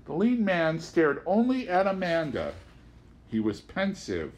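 A man reading literary prose aloud as an audiobook narrator, with an expressive, theatrical delivery. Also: on the high side, measured pace. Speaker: audiobook reader.

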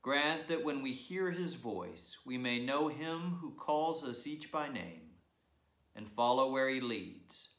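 A man's voice: medium pitch, lively intonation, slow pace, a bit bright. A man, a priest, giving church announcements from his living room, hesitant with many fillers. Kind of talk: church announcements and opening prayer. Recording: echoey room.

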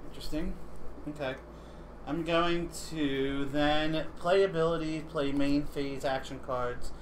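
Male game player, mid-pitched, inquisitive, thinking aloud, casual chat, noisy livestream room. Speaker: board game player learning the rules.

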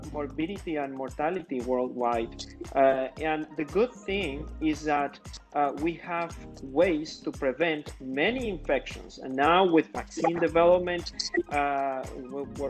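Halting man with a Peruvian Spanish accent. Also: fairly high pitch. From Peru. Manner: halting, hesitant phrasing. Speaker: interview guest, infectious diseases doctor. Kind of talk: explanatory interview answers. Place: indoor room, video call. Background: music.

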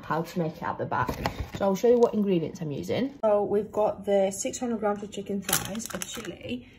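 A woman's voice: mid-range voice, expressive, normal speed. Young woman, cooking vlogger, casual rambling chat into a nearby phone, kitchen noise. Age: young adult. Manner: chatty, rambling, many fillers and self-corrections. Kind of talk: casual cook-along chat. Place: home kitchen, indoors. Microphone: phone camera propped close, moving around.

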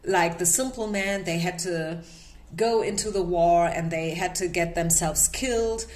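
A woman's voice: lowish voice, unhurried, a little mellow. Woman, German accent, tarot reader, chatty, keeps checking in, small candlelit room. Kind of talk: casual direct-to-camera energy reading.

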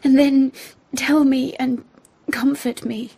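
An actress playing a sensitive, troubled young woman in a period radio play, halting, intense and pleading. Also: high-pitched, flat delivery, gravelly, mellow, slightly rough, exaggerated voice. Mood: distressed, pleading. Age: young adult.

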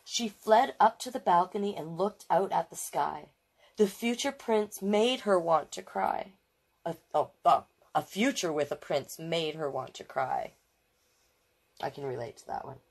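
A woman's voice: on the low side, a little slow, husky. A woman hosting a storytime, reading a children's book aloud expressively and adding affectionate, chatty asides. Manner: expressive, affectionate commentary, repeating phrases. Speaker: storytime host reading picture books.

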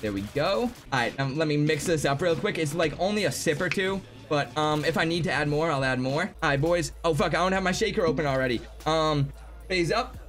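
Young guy streaming from his room, kinda high voice, losing his voice, swears a lot, quiet music behind. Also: fairly quick. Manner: excitable, swears freely, talks to chat. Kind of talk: live gaming stream chat. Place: home gaming room.

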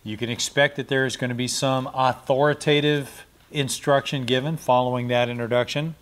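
A man's voice: medium pitch, steady tone, normal speed. Middle-aged man teaching Bible study. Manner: explanatory, spells out and repeats Greek words. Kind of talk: verse-by-verse Bible study lecture. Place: small indoor studio, seated in chair. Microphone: lapel mic.

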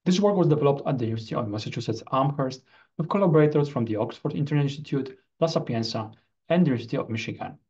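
A man giving a scripted academic video presentation as a researcher, in formal, deliberate phrasing, on a computer microphone. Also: on the high side, darkish tone, lo-fi sound.

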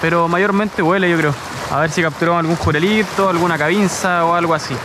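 A man with a Chilean accent chatting casually and excitedly as he fishes outdoors, using slang and repeating short phrases. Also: on the high side, brisk, background noise. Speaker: fishing vlogger. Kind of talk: casual vlog chat while fishing. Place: outdoors on a rocky seashore. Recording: loud music behind the outdoor voice. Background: loud music.

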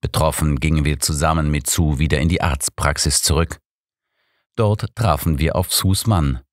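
Low male audiobook narrator, reading a story, close dry studio sound. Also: normal speed, no room sound. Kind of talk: scripted first-person story narration.

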